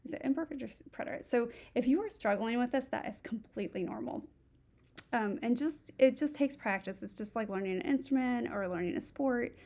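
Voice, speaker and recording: Middle-aged woman, english native, teaching spanish on a screen recording, kinda gravelly, a bit high, talks herself through each step. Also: fairly quick, darkish tone. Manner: thinks aloud, walks through steps. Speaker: Spanish instructor. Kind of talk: recorded tutorial lesson.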